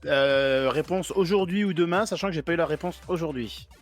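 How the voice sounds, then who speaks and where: A man's voice: on the high side, a little fast, soft background music. A man co-hosting a casual podcast chat, laid-back and rambling. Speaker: podcast co-host.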